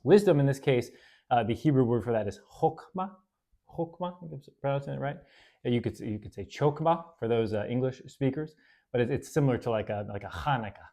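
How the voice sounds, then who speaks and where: Brief: male host, relaxed Bible study teacher, chatty with fillers, reads verses slowly. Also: mid-range voice. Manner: relaxed, conversational, with fillers and self-corrections. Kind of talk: casual Bible study talk.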